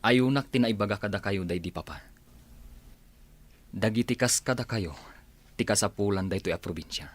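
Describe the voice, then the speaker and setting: A man's voice: lowish voice, normal speed, pauses often, close to an everyday voice. A man acting a serious attorney and political adviser in an Ilocano radio drama. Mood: persuasive.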